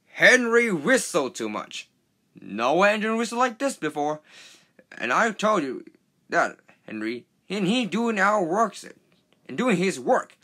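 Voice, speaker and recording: A man's voice: sits high, very animated, takes it slowly, pushed and bright, pitched above the performer's own voice, heavily acted. A man voicing a pompous, cross steam engine in a children's storybook, scolding indignantly.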